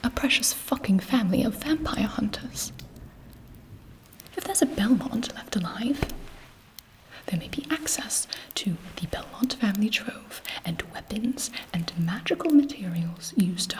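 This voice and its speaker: A woman playing an imperious, scheming, seductive vampire queen in an intimate ASMR roleplay, speaking in a deliberate, haughty whisper. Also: mid-range voice, expressive, lightly acted, rough recording. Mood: scornful.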